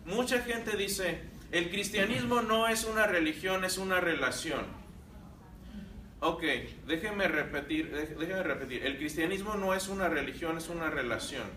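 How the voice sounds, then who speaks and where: High, bright-voiced man teaching a class. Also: moderate pace, echoey room, recorded from a distance, a little hiss or hum, low-quality recording. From Mexico. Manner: conversational, asks the class questions. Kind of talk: church class lecture.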